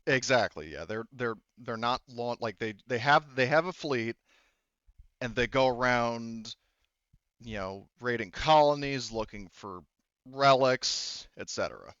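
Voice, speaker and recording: A man running a tabletop game as game master, explaining things casually in a halting, repetitive way. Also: mid-range voice, a bit bright, clean tone, dry recording, lo-fi sound. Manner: halting, explanatory, repeats himself.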